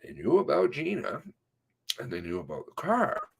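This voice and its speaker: A man reading an interview transcript aloud in a halting way, sometimes breaking off with asides of his own. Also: medium pitch, wildly swooping pitch, vocal fry, husky, poor audio. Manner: halting, often breaking off to comment on the text.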